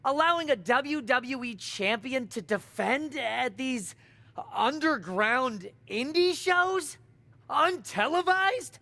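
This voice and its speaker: A man's voice: very high-pitched, expressive, slow pace, vocal fry, bright, forceful tone, heavily acted. A man playing a fussy, indignant corporate official in a video game, stammering and affronted. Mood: indignant, flustered. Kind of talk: exaggerated video game cutscene acting.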